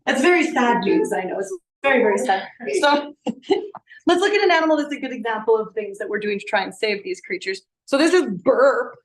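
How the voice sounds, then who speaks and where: A woman in her thirties with a slightly hoarse voice, showing live animals to young children in a classroom. She explains in an animated, friendly teaching way, asking the kids questions as she goes. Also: a little high, fairly quick, poor audio. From Canada. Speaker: science educator. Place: elementary school classroom, indoors.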